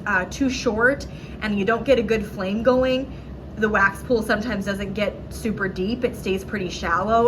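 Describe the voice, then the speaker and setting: A woman's voice: medium pitch, background noise. A woman reviewing candles at home in a chatty, rambling way, sounding a little nasal and sore-throated from a cold, with many "um"s. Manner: chatty, lots of "um"s, self-correcting. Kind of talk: casual product review vlog. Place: at home indoors.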